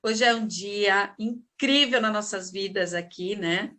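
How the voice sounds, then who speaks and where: A woman's voice: medium pitch, fairly even intonation, slow pace, sharp tone. A woman hosting an interview, effusive and admiring as she welcomes her guest. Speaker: host. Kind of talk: enthusiastic interview host.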